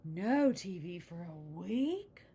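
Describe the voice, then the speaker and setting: A woman's voice: very animated, takes it slowly, dark tone, slightly rough, exaggerated voice. A woman voicing young storybook bear cubs who plead and protest. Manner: pleading, higher-pitched.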